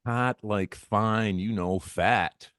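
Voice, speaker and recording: A man's voice: lowish voice, drawn-out words, fairly bright tone, no echo, clean studio sound. A man reading the part of a cocky, impatient reality show contestant at a script table read, blunt and teasing.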